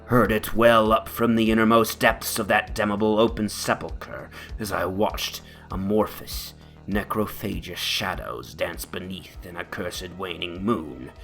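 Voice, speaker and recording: A man's voice: deep voice, fairly even intonation, measured pace, vocal fry, fairly bright tone, heavily acted. A man playing a shaken, horror-stricken witness, narrating gothic testimony in a grave, theatrical way. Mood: stunned horror. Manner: theatrical, grave, swelling into anguished cries. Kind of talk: dramatic horror reading. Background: music.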